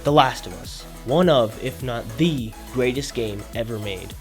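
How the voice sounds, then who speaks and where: A man's voice: mid-range voice, a little slow, loud music behind the voice. A man presenting an opinionated video essay as a YouTube host, speaking emphatically.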